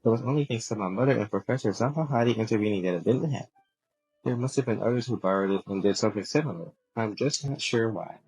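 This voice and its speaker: Guy doing an earnest fantasy quest character, talks quick, raspy edge, puzzled. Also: on the low side, darkish tone, soft background music, low-quality recording. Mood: earnest, explaining. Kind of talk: amateur game-quest dub.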